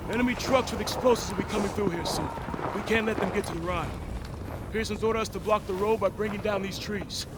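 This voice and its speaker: A man acting a steady American squad leader in a war game cutscene. He gives firm orders in a raised, high-pitched voice, with very loud outdoor engine noise around him. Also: fast talker, lightly acted. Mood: focused. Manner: firm, purposeful briefing.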